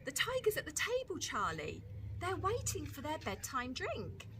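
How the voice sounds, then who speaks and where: A woman's voice: high voice, huge pitch swings, moderate pace, a bit bright, slight background noise, voice pushed up, exaggerated voice. A woman voicing a very small, funny little sister from a storybook, stubborn, imaginative and full of chattering excuses. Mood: earnest excuse-making. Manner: insistent, excuse-making, chattering.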